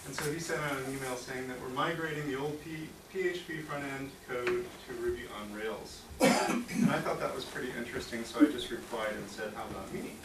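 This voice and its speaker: A man from the United States giving a relaxed, chatty talk at a tech meetup, with fillers and small laughs. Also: mid-range voice, fairly quick. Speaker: conference speaker. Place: meeting room at a tech office. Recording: echoey room, distant mic, noisy.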